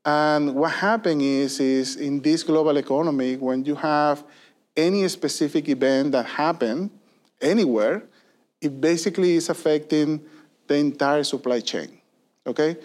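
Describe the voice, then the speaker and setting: A man's voice: a little high, measured pace, darkish tone. Guy in his 50s, explains stuff earnestly, says "right?" a lot. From Venezuela. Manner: earnest and explanatory, with many fillers and tag questions. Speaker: guest, industry consulting leader. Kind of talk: conversational interview answers.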